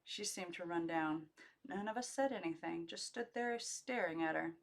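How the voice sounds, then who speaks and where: A woman narrating a memoir as an amateur audiobook reader, with expressive, emphatic storytelling phrasing. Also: on the low side, moderate pace.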